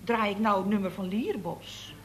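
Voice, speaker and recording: A woman's voice: mid-range voice, moderate pace, background noise, close to an everyday voice. An actress playing a chatty, cheeky female secretary at a building firm in a 1960s radio play. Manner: gossipy, sing-song phone manner.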